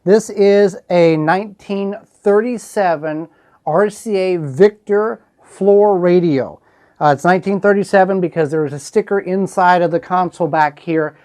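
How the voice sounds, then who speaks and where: A man's voice: sits high, a little slow, darkish tone. A man hosting a casual show-and-tell, explaining things in a folksy, plainspoken way. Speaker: host showing a collectible.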